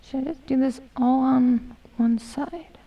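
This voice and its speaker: A woman in her twenties, going hoarse as she chats casually and mutters to herself while streaming a game. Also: sits high, level tone, unhurried, distant mic, highs cut off. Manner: chatty, self-mocking, hums and mutters to herself. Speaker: gaming streamer. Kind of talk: casual gameplay commentary.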